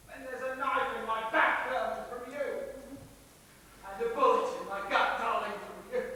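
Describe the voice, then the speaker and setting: Man playing sardonic husband, slow, high-pitched, echoey hall. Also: pushed and bright, full character voice. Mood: anguished, grandiose. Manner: sardonic, deliberate, theatrical delivery. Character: embittered middle-aged theatrical husband, mocking and menacing with a gun. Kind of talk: theatrical stage drama acting. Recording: echoey hall, distant mic, faint rumbling noise.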